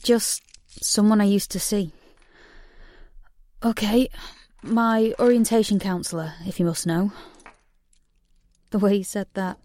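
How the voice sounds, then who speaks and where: A woman's voice: mid-range voice, steady tone, a little slow, long pauses, warm tone, no echo, close to an everyday voice. Actress doing young woman on the run, defiant and guarded, audio drama, natural midrange voice. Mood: bitter, defiant. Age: young adult. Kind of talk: audio drama acting with intimate first-person narration.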